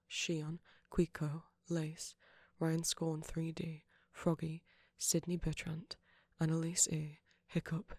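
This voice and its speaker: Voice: medium pitch, darkish tone, no room sound, clean studio sound. An audio creator delivering a scripted channel outro to listeners.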